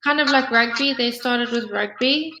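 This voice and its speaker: A woman's voice: a little high, moderate pace, bright, forceful tone, a little hiss or hum. A woman with a South African accent, chatting in a friendly way as an English tutor over a video call and asking lots of follow-up questions. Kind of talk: casual conversation practice.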